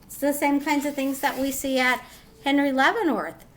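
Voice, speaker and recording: A woman teacher reading a picture book to young children, expressive and instructive, pausing to ask questions. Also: sits high, slight background noise. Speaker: teacher reading aloud to pupils.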